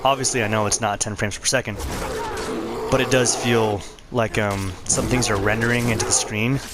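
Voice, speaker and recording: Hoarse man hosting a gaming vlog. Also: on the low side, steady tone, normal speed, very noisy. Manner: laid-back, conversational. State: hoarse, losing his voice. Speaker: channel host.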